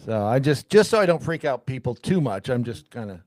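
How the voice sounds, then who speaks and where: A man's voice: medium pitch, sing-song, a little fast, a little mellow, no echo. A man chatting casually as a guest on a studio podcast, joking and self-deprecating. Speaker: radio host as podcast guest. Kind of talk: casual chat.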